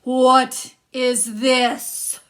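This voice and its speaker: A woman voicing a grumpy, hungry giant husband who booms and complains. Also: little pitch movement, drawn-out words, fairly bright tone, exaggerated voice. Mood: grumbling. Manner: booming, complaining.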